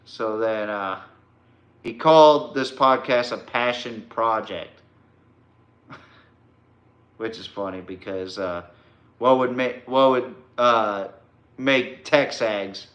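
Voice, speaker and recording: A man hosting an informal sports podcast, ranting bluntly and mockingly in a halting way with repeated words. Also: on the low side, a bit bright, echoey room, highs cut off. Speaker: podcast host.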